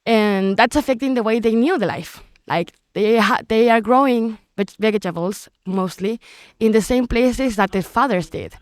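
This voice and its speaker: A woman's voice: fairly high pitch, moderate pace, no room sound, clean studio sound. A woman, speaking English as an as an interview guest, earnest and sometimes searching for words. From Chile. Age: teens. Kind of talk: casual interview.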